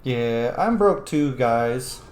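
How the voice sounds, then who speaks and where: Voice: mid-range voice, animated, slow pace, darkish tone. A game streamer giving casual, playful, informal commentary in murmured asides. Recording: roomy, faint noise.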